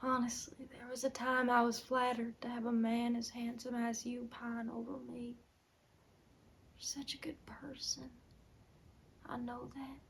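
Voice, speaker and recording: A woman's voice: on the high side, vocal fry, a bit bright, a little hiss or hum. A woman acting a desperate, tormented young farm wife in an intense dramatic film monologue. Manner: intense, swinging from whispers to anguished outbursts. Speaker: acting student.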